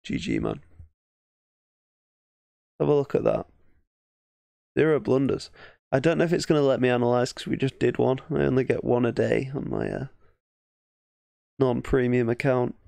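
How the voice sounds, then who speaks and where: A man's voice: mid-range voice, sing-song, moderate pace, creaky, pauses often, dark tone, no echo. A man with an English accent giving casual, thinking-aloud game commentary as a chess streamer, often muttering half-finished thoughts. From England.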